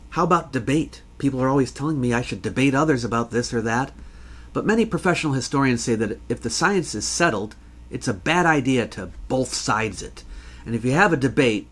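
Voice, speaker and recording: Guy hosting an explainer, mid-pitched voice, talks it through measured and conversational. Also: fairly bright tone. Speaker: host, history educator. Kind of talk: explanatory talk answering a listener question.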